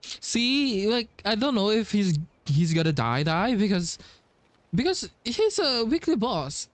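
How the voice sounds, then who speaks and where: A man giving casual gameplay commentary as a streamer, musing in a halting way and repeating bits of dialogue. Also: sits high, very animated, no echo. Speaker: game streamer.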